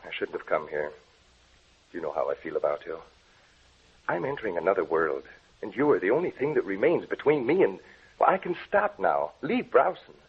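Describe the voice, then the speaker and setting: An actor playing a troubled young research scientist in a 1940s radio drama, speaking in a tense, earnest, brooding way. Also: male voice, low voice, big pitch swings, normal speed, breathy edge, full character voice. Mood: guilty. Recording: tinny old radio broadcast.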